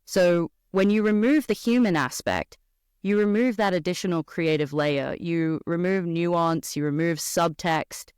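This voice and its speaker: A woman's voice: fairly low pitch, unhurried, smooth, dry recording, clean studio sound. A woman with an Australian accent, a voice actor chatting expressively as a podcast guest. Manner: animated, expressive storyteller. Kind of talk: casual podcast conversation.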